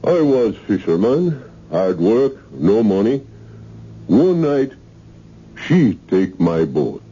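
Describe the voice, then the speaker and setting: An actor playing a big, genial but sinister island castaway with a Caribbean accent and simple dialect phrasing in a 1970s radio drama. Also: male voice, on the low side, big pitch swings, takes it slowly, mellow, slightly rough, full character voice. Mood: slow storytelling. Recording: muffled old radio broadcast.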